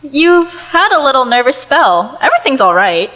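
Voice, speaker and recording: A woman playing a brisk, composed ancient female spirit with an arch, slightly formal manner in an audio play. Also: high voice, big pitch swings, a bit bright, low-quality recording. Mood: soothing, concerned.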